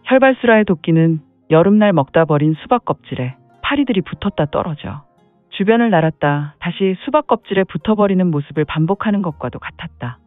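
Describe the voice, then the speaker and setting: A man's voice: high-pitched, animated, brisk, dry recording. A man narrating a serial martial-arts audio drama in a dramatic storytelling style, now and then dropping in "heh heh" interjections. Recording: tinny phone-like dry sound, faint music.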